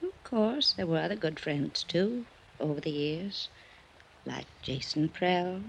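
A woman's voice: on the low side, expressive, slow pace, creaky, heavily acted. An actress playing a frail, weary, wistful older woman in an old-time radio drama, lingering sentimentally over her words. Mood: wistful, fond. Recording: dull, noisy old radio recording.

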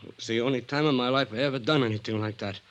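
An actor playing a nervous aging American ex-con entertainer in an old radio drama, stammering and pleading in street slang. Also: male voice, mid-range voice, quick pace, a bit bright, lightly acted. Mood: anxious, earnest. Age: older.

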